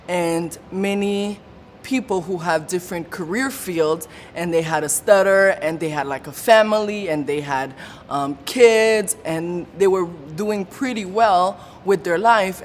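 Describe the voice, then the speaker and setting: A woman telling her own story of living with a stutter, with repeated sounds, blocks and pauses. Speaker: support group member sharing her story. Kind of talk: personal testimony. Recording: long room reverb with faint low rumble.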